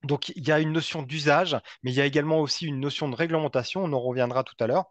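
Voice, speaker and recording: A man giving a semi-improvised technical presentation, speaking haltingly and often repeating and rephrasing his words. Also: on the high side, fairly even intonation, no echo. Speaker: presenter from a local energy body.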